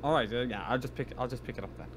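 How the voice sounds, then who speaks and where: A man's voice: medium pitch, racing, background noise. A man thinking aloud tentatively as a player in a casual tabletop roleplaying game.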